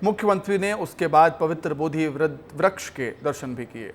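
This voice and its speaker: A man's voice: fairly high pitch, animated, normal speed. A man reading television news as an anchor. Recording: music bed under the voice. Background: news music.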